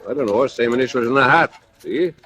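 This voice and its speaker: A man playing a gruff, commanding city policeman in a 1940s radio drama. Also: medium pitch, a little fast, fairly bright tone, natural voice. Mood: businesslike. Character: brisk, bossy male city policeman. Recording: old radio, busy street crowd.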